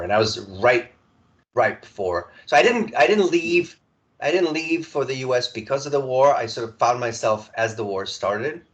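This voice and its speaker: Male guest, 40s, from Serbia; low voice, rambling, halting conversational telling. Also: fairly bright tone. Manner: halting, many restarts and repeated words. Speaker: podcast guest. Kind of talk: casual conversational storytelling.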